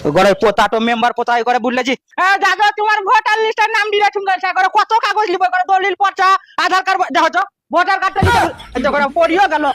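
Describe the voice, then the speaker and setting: A man's voice: very high voice, animated, racing, few pauses, pushed and bright, faint music behind, exaggerated voice. A man playing a cranky, flustered very old village man in a comic skit, with a rural Birbhum Bengali accent and a grumbling, complaining manner. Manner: grumbling, cursing, breathless complaining.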